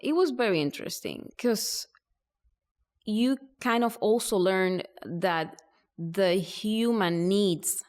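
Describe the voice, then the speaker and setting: A woman doctor from Rico, answering interview questions thoughtfully with many pauses and fillers. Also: medium pitch, darkish tone. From Puerto Rico. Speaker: primary care doctor.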